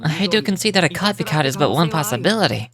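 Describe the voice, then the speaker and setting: A man's voice: a little high, animated, fast, crowd noise. A man voicing a polite young stage magician, composed and proud, with a courteous theatrical delivery. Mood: composed, explanatory.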